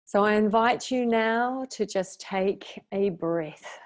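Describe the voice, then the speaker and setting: A woman guiding a meditation as its teacher, speaking in a soothing, unhurried way with long pauses. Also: medium pitch. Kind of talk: guided meditation.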